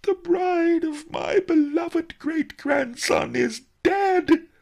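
A man's voice: fairly high pitch, big pitch swings, slow pace, vocal fry, pushed and bright, voice pushed up, full character voice. A man voicing a cunning, indignant old great-grandfather in a storybook, given to theatrical huffing and wailing. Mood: wailing grief. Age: older. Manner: theatrical, huffy, sobbing outbursts.

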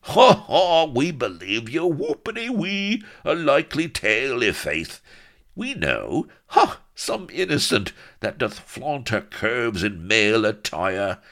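A derisive, vulgar pirate from a comic adventure story. He hoots in a high, childish sing-song, swooping with mockery. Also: sing-song, takes it slowly, vocal fry, a bit bright, heavily acted. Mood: derisive glee. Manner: hooting, sing-song taunts.

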